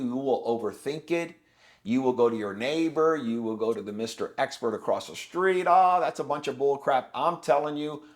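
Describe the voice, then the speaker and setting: A man in his fifties, a guest on a podcast, talking in an emphatic, preachy and blunt way. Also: medium pitch. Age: 50s. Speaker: guest, business owner. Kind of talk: podcast conversation.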